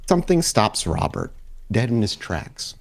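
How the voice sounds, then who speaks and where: A man working as game master, narrating a tabletop roleplay in deliberate, cinematic phrases. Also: fairly low pitch, very animated.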